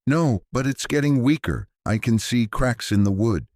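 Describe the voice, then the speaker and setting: A man's voice: deep voice, very animated, moderate pace, a little mellow, no room sound, clean studio sound. A man voicing a frightened young woman who pleads anxiously. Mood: scared.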